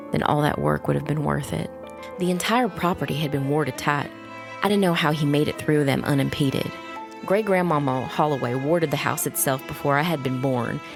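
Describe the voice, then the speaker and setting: A woman playing a wary, resolute young witch who narrates a dark fantasy audio drama in a measured storytelling way. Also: low-pitched, creaky. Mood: fearful, resolute. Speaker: first-person narrator. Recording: music bed under the voice. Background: music.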